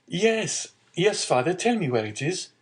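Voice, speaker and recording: A man's voice: high-pitched, very animated, unhurried, voice pushed up. A man voicing an eager, devoted young sailor in an audiobook story, speaking in an earnest, urgent way. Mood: concerned, distressed.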